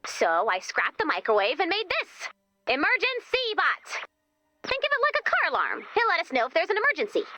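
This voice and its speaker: A chirpy, quirky female commander from a video game. Her voice is very high, bright and animated, with a playful, doting way of talking. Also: fairly quick, no echo, heavily acted. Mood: upbeat, wry.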